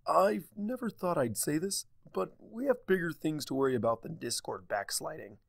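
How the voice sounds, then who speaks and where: Man voicing a little boy dragon, raised pitch, earnest, blunt, awkward, cartoon fan story. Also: expressive, normal speed, gravelly, no room sound. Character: small young male dragon, earnest, blunt, a bit awkward.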